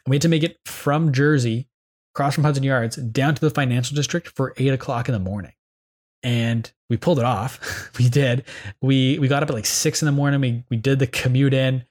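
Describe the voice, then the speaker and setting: Young man from Canada, podcast host, rambling eager storyteller, mid-pitched voice. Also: fairly quick, a little mellow. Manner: rambling, enthusiastic storytelling with many fillers. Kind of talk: casual reflective monologue.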